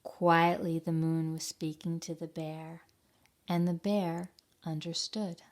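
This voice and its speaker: Slow, low-voiced woman reading at storytime. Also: level tone. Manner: gentle, simple explanations addressed to young children. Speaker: children's library storytime reader. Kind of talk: storytime read-aloud and talk to children.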